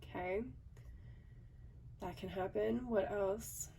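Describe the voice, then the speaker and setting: A woman doing a casual tarot reading, her voice a little raspy from a recent cold, often pausing with "okay" and "um". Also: medium pitch, fairly even intonation, warm tone, recorded from a distance, a little hiss or hum, low-quality recording. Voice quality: slightly raspy. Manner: thinking aloud with frequent "okay" and "um". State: hoarse from a cold. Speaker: tarot reader.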